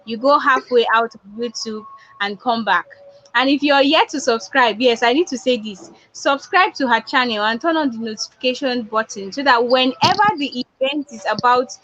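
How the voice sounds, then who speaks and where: A woman's voice: fairly high pitch, normal speed, fairly bright tone. Nigerian woman giving an emphatic pep talk. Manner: emphatic, repeats points for effect. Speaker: public speaking coach. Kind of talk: motivational online talk. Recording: dull lo-fi online audio.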